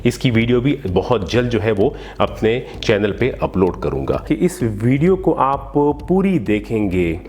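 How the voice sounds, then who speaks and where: A man's voice: medium pitch, lively intonation, measured pace, hardly any pauses, a little mellow, a little hiss or hum. A man hosting an instructional phone tutorial, explaining steps to viewers in a polite, formal way. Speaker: tech tutorial host. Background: background music.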